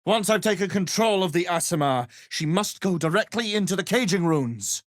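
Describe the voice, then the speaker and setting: A man's voice: high voice, lively intonation, normal speed, dry recording, clean studio sound. A man voicing an arrogant, power-hungry wizard in a fantasy game, with a theatrical, pompous, condescending delivery. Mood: commanding, furious.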